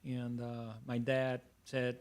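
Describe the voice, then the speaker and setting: A man's voice: mid-range voice, completely flat delivery, slow, darkish tone, clear, resonant tone. Man on a panel. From Mexico. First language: Spanish. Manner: reflective, with frequent restarts and fillers. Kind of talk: panel discussion.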